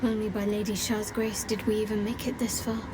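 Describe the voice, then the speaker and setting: A woman's voice: mid-range voice, level tone, brisk, background noise, lightly acted. A woman voicing a devout, guarded young cleric in a video game, reverent, in short clipped phrases. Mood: reverent, resolute. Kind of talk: video game character acting.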